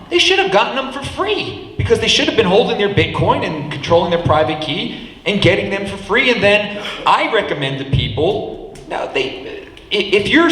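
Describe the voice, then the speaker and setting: Loud lively man, fairly high voice, echoey hall. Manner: loud, lively, rapid bursts. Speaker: panel speaker at a meetup. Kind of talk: live panel talk. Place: meetup venue hall. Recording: echoey hall with long reverb.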